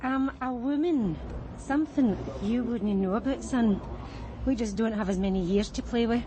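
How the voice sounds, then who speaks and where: An actress playing a blunt, world-weary Scottish street prostitute in a radio crime drama. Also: female voice, on the high side, normal speed, dark tone, natural voice. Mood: wary. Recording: noisy street and car background.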